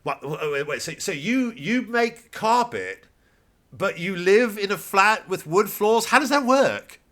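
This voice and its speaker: A man putting on a shrill, nosy stand-up comic. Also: animated, measured pace, fairly bright tone. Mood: incredulous. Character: pushy male stand-up comic grilling an audience member.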